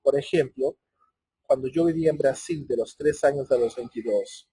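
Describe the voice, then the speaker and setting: Husky-voiced man with a Portuguese-tinged accent. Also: medium pitch, moderate pace, warm tone, dry recording. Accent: Brazilian Portuguese-tinged Spanish. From Chile. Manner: conversational, many restarts and self-corrections. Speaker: webinar presenter. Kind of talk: technical webinar talk. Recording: muffled, hoarse-sounding office audio.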